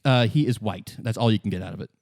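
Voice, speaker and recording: A man's voice: lowish voice, fast talker, a little mellow, no echo, studio quality. A man casually narrating a role-playing game as game master, explaining things in a conversational way.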